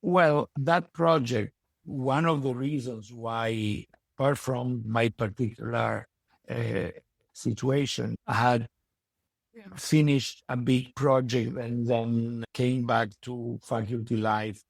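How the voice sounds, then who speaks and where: A man with a strong Italian accent speaking reflectively in an interview, pausing to search for his words. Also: mid-range voice, steady tone, creaky, no room sound. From Italy. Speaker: podcast guest and university educator. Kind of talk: conversational interview.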